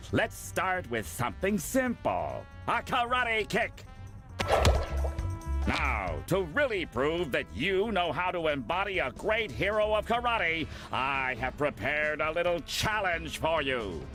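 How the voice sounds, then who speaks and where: An actor playing a pompous, impatient, bossy film director villain in an animated video game. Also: male voice, fairly high pitch, unhurried, music in the background. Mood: condescending, bossy. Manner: bossy, self-important, sarcastic.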